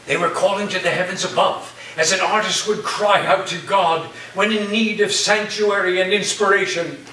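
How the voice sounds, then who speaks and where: A man's voice: high voice, fairly even intonation, moderate pace, pushed and bright. A man playing an eccentric, grandiose college professor and dreamy storyteller in a theatrical staged reading, with a dramatic delivery. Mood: dreamy, solemn. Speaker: actor in a staged play reading. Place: echoey room at a live staged reading. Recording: roomy, distant mic, faint noise.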